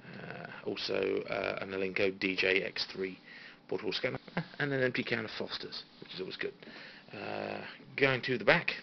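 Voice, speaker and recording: A British man giving a casual, halting, understated tour of his hobby equipment, as an enthusiast showing viewers around. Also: on the low side, steady tone, gravelly. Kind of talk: casual informal show-and-tell. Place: small radio room at home. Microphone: handheld phone. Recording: dull phone recording with faint noise.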